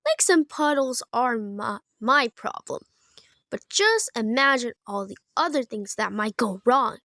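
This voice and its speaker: A woman's voice: on the high side, animated, measured pace, a bit bright, no room sound, studio quality. A woman voicing an anxious, clingy young male baby frog in a storybook, fretful and earnest.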